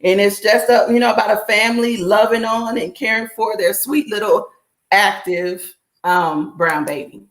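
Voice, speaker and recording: A middle-aged woman, a children's book author, talking casually as a guest, reflective and relaxed with many fillers. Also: medium pitch, normal speed. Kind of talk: casual conversation.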